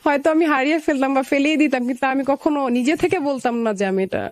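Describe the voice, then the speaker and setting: A woman's voice: high-pitched, fast talker, no echo. A young woman with a Bangladeshi accent, telling a personal story conversationally in an interview, slipping into remembered dialogue. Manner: conversational, quotes past dialogue as she narrates. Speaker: guest telling own life story. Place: radio studio.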